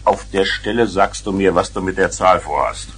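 A man's voice: deep voice, moderate pace, breathy edge. An actor playing a strict, irritable father in a 1970s children's radio play, blustering and demanding. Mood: angry, demanding. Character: irritable, strict family father, stickler for respect. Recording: noisy 1970s vinyl record.

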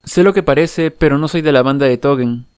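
A man's voice: mid-range voice, fairly quick, darkish tone, clean tone, dry recording, close to an everyday voice. A man with a Latin American Spanish accent voicing an easygoing, mildly exasperated hero in an anime fan dub, casual and offhand. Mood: pleading, exasperated.

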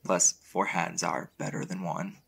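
A man playing a gruff, easily flustered young boyfriend in an intimate audio roleplay, dry and a little awkward, with a husky, gravelly voice. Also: low-pitched, little pitch movement, a little slow, fairly bright tone, full character voice. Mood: shy, eager.

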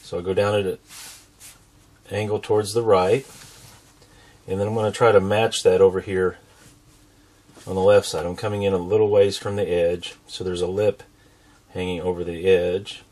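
A man's voice: sits low, flat delivery, moderate pace, pauses often, a little mellow. An American man in his forties hosts a drawing tutorial, explaining each step simply and patiently. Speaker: drawing instructor and show host.

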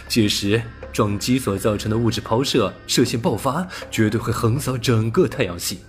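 Low-voiced male sci-fi audiobook narrator. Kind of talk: scripted dramatic science fiction narration. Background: music.

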